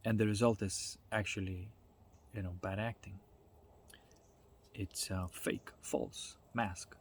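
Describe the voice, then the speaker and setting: Male speaker, lowish pitch, informal explainer. Thoughtful, halting phrasing with conversational tags. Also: fairly even intonation, slight background noise. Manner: reflective, halting delivery with checking tags like "right?" and "you know". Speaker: speaker giving a self-development talk.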